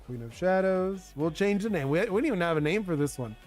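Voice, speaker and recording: A man's voice: high-pitched, animated, fast talker, a little mellow. Chatty young man, music faintly behind. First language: Spanish. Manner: chatty, rambling, lots of "like" and restarts. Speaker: streamer designing a card game. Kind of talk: casual live-stream chat with viewers.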